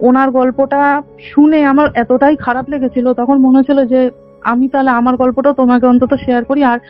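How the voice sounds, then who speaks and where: A woman's voice: high-pitched, level tone, fast talker. A woman of about twenty earnestly recounts a personal story in detail on a call. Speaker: caller telling their own story. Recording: dull band-limited phone call, faint music. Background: faint background music.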